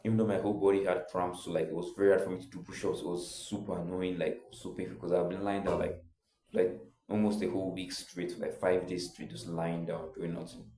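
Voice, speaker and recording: A young man tells his own story in a loose, rambling way, leaning on filler words as he goes. His voice sits very low and sounds mellow and soft-edged. The room around him adds a clear echo. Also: level tone, fairly quick. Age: young adult. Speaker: vlogger. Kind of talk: casual personal storytelling.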